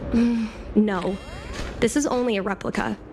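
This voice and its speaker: A woman's voice: on the high side, fairly even intonation, measured pace, background noise. A woman voicing an easygoing, wistful young woman in casual, conversational video game dialogue. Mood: reflective. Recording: music playing behind the voice.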